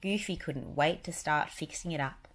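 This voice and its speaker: A woman's voice: low-pitched, moderate pace. A woman narrating a children's picture book, reading it aloud as a story.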